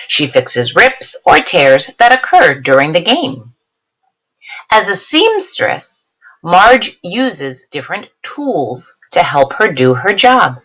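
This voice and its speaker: Woman teacher reading aloud with lively, swooping intonation. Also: fairly low pitch, low-quality recording. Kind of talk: read-aloud science lesson with explanatory asides.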